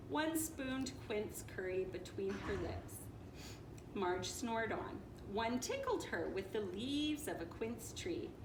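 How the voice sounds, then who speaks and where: A woman reading a children's picture book aloud in an expressive, playful, dramatic way, with little asides. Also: a little high. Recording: roomy echo with clear background noise.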